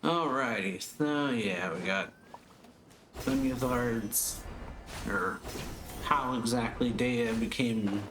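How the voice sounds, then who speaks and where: A man's voice: mid-range voice, slow pace, long pauses, background noise. A man giving casual let's play commentary in a laid-back way, with short muttered reactions. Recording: game music behind the voice.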